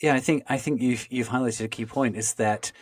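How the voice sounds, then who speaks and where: A man hosting a podcast, asking long, halting questions with many restarts and explaining with analogies. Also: medium pitch, no echo. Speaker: podcast host. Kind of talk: conversational interviewing.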